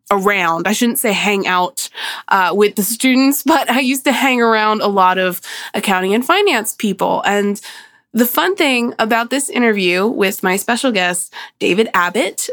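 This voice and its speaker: A woman's voice: medium pitch, a bit bright, dry recording, clean studio sound. Chatty woman host, nasal from a cold. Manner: chatty, laughs often. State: slightly nasal from a summer cold. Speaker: podcast host. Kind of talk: casual podcast chat.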